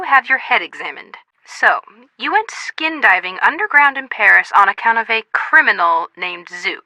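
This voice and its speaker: A woman's voice: fairly high pitch, bright, forceful tone, no room sound, rough recording. An actress playing a bubbly, boy-crazy young American woman in a video game, gushing and playfully dramatic. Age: young adult.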